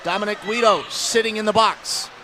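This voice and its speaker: A man with an American accent delivers live radio play-by-play of a hockey game. His voice sits high for a man. Clear noise from the rink runs behind him. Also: fairly even intonation, moderate pace, a crowd in the background. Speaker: play-by-play announcer. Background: ice hockey game noise.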